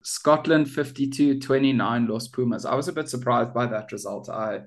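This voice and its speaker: A man co-hosting a relaxed sports podcast. He talks in an easy, bantering way and often laughs at his own jokes. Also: medium pitch, normal speed. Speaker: podcast co-host. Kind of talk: casual sports chat.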